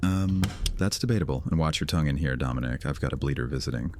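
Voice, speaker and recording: A cocky vampire loner with a very deep voice, as a man acts him out. He talks casually and mocks everyone around him with dry sarcasm. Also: fast, darkish tone, faint music behind. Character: cocky male vampire loner, sardonic and teasing. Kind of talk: fantasy audio drama acting.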